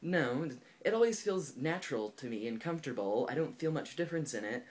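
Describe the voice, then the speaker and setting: A man's voice: a little high, animated, brisk. A young man in his twenties talking candidly and thoughtfully to camera for his personal vlog, with lots of likes and ums. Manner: thoughtful and candid, heavy use of "like" and "um". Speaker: video blogger. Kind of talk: casual personal vlog talk.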